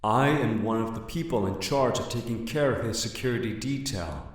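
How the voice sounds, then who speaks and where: A man's voice: lowish voice, normal speed, natural voice. A man playing a self-important crime syndicate henchman in an audio drama, heard with heavy echo. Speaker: voice actor. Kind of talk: anime-style audio drama acting. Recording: very echoey, somewhat distant.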